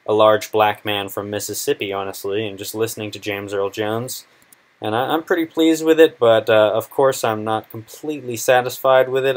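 Low-voiced young man, casual tutorial. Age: 20s. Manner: informal, unscripted, halting explanation. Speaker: video tutorial presenter. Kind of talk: casual screen-recorded software tutorial.

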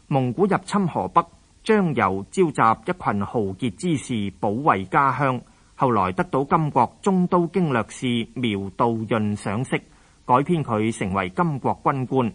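A male narrator reads a scripted historical account. He has a Hong Kong Cantonese accent and a voice of medium pitch. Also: a bit bright, husky.

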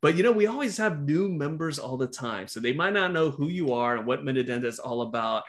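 A man's voice: fairly high pitch, expressive, a little fast, a bit bright. A middle-aged man hosting a live stream over a video call, chatting casually as he introduces his guests. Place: indoor office.

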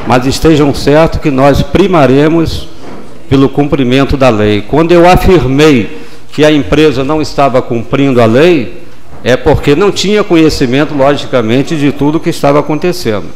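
Older man, councilman, formal deliberate delivery, desk mic in a chamber. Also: mid-range voice, a little mellow, slight background noise, poor audio. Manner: measured, formal, deliberate pauses. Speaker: city councilman. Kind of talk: formal legislative speech. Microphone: desk gooseneck microphone.

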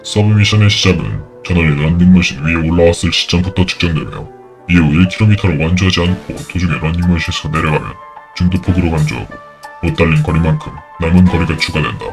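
An actor playing a cold, commanding unseen game master who announces cruel missions in an audio drama. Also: male voice, low voice, fast talker, faint music behind.

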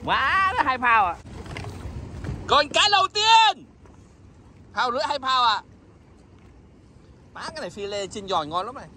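High bright-voiced guy hollering on a fishing boat, cusses a lot, bit of outdoor noise. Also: a little slow, pauses often. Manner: excitable, loud exclamations, blunt swearing. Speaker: fishing vlogger. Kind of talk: excited fishing chat.

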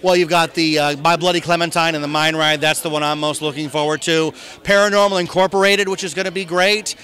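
A middle-aged man with an American accent talks up an event with a salesman's enthusiasm. His voice sits notably high and has a bright, forceful edge. He speaks into a handheld reporter's microphone in an interview. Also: steady tone, a little fast. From Southern California, United States. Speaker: event master of ceremonies being interviewed. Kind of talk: promotional red-carpet interview. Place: outdoor press backdrop at a theme park at night.

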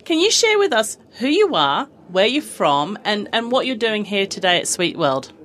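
A woman's voice: mid-range voice, very animated, normal speed, a bit bright. A woman, speaking as the host of an interview podcast.

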